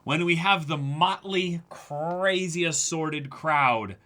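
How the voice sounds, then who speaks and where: A man's voice: on the high side, takes it slowly, bright, forceful tone. A man chatting casually and commenting as co-host of a dramatic manga reading.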